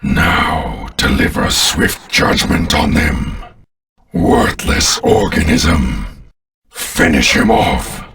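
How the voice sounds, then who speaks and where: Game villain: ancient, contemptuous alien tyrant. Slow, menacing, grandiose delivery with big swoops in a heavily rasping bass growl. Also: male voice, a bit bright, far from the mic, exaggerated voice. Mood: scornful. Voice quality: very deep, gravelly. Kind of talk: theatrical video game villain acting.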